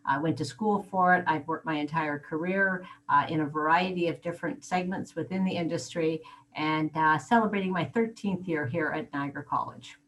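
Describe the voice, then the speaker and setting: A woman with a slightly low-pitched voice, presenting as a program coordinator. She lays things out in an orderly, measured way, correcting herself smoothly when she slips. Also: fairly bright tone, poor audio. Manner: organized, measured. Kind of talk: presenting at online info session.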